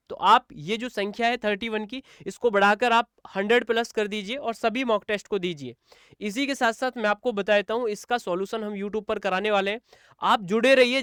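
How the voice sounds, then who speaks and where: High-pitched male teacher. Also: a bit bright, smooth, no room sound, clean studio sound. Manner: explanatory, repeats points, asks and answers own questions. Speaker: exam coaching teacher. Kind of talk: informal instructional walkthrough for students.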